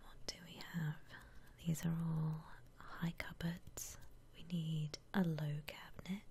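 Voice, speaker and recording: A woman whispering softly and breathily in a gentle, musing way as she gives ASMR commentary on a game. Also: sits low, little pitch movement, creaky, a little hiss or hum. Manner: gentle, unhurried, musing aloud. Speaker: ASMR streamer playing a house-decorating game.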